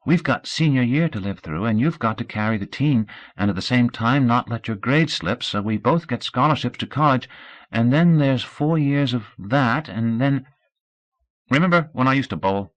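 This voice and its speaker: A man's voice: medium pitch, lively intonation, moderate pace, pitched above the performer's own voice, natural voice, low-quality recording. A man reading aloud, voicing an earnest teenage boy athlete who rambles and later cries.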